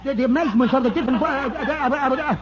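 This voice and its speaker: An actor playing a flustered, simple-minded, harmlessly boastful pal with a heavy comic stutter in an old radio sitcom. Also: male voice, extremely high pitch, quick pace, a loud crowd. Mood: boastful, tongue-tied. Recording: muffled, slightly noisy vintage radio recording.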